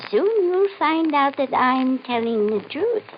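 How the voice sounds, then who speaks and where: An actress in an old-time radio mystery playing a nervous, insistent, ominous woman with a halting, pleading, melodramatic delivery. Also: sits high, very animated. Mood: ominous insistence. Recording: muffled, hissy old radio recording.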